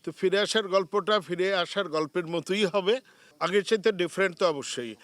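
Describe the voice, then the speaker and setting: A man's voice: sits high, fast, a bit bright, no echo. A man with a Bangladeshi accent, an actor giving a casual interview answer.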